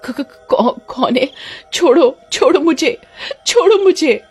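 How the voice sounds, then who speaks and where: A woman's voice: sits high, expressive, takes it slowly, a little mellow, voice pushed up. Woman voicing a dramatic cartoon mermaid heroine, music behind. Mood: frightened. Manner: dramatic, emphatic. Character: young female mermaid, distressed yet fiercely vengeful. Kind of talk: melodramatic cartoon acting.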